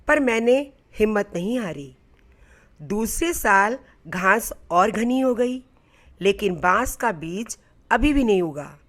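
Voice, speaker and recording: A woman's voice: fairly high pitch, fairly bright tone. A woman voicing God as a patient, encouraging male deity in a storybook tale, reassuring and instructive. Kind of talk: storybook narration.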